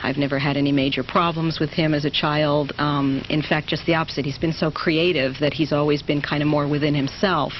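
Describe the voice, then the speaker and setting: A middle-aged woman, a singer's mother, being interviewed in easy chat, earnest and halting, laughing easily. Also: sits low, little pitch movement, muffled recording, slight background noise.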